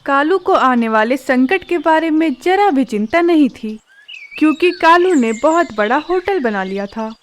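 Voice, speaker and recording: A woman narrating a children's cartoon story in a calm, even manner. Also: high-pitched, measured pace, slight background noise.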